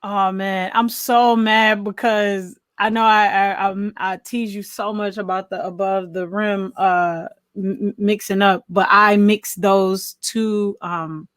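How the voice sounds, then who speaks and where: A woman co-hosting a podcast, talking through films casually in an animated, rambling, think-aloud way. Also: medium pitch, takes it slowly, hardly any pauses, smooth, no room sound, studio quality. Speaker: podcast co-host. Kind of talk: casual film discussion.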